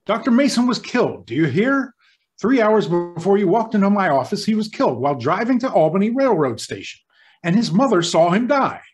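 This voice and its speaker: An actor playing a nervous, urgent, insistent hospital doctor in an old-time radio drama. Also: male voice, high-pitched, big pitch swings, a little fast, faint music behind. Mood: urgent, pleading. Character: nervous, agitated male hospital doctor in charge.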